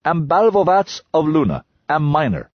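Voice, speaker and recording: A man voicing a blunt miner who speaks in broken, clipped English, talking higher than his own voice. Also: big pitch swings, moderate pace, dry recording, lo-fi sound.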